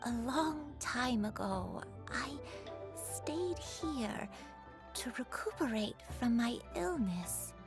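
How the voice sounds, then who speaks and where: A woman voicing a frail, gentle, forgetful old grandmother in a video game, with a halting, tender and apologetic way of talking. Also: on the high side, animated, over music. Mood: wistful. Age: older. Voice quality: creaky, husky, frail.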